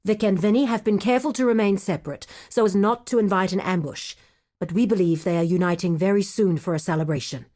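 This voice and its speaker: A woman playing a composed, dutiful lieutenant to a crime boss in a video game, speaking in a measured, formal, businesslike way. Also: medium pitch, darkish tone, close to an everyday voice. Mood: focused, businesslike. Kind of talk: video game character voice acting.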